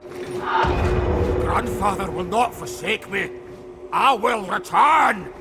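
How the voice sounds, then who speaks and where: An actor playing a defiant, rotting plague zealot in a fantasy video game, gravelly and snarling. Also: male voice, high-pitched, animated, drawn-out words, loud music behind the voice, full character voice. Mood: vengeful. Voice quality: gravelly, croaking. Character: rotting plague warrior, defiant devotee of a disease god. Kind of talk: theatrical video game villain acting.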